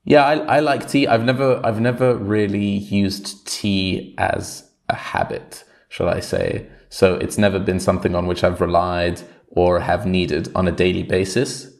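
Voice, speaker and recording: Low-voiced man, dry and measured. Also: little pitch movement, creaky. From Switzerland. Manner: dry, wry and measured, slightly formal phrasing. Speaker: podcast co-host. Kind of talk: casual chat.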